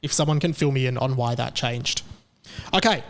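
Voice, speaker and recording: A man's voice: medium pitch, normal speed, a little hiss or hum. An Australian man in his thirties hosting a casual solo podcast, rambling and self-mocking with lots of fillers and restarts. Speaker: podcast host.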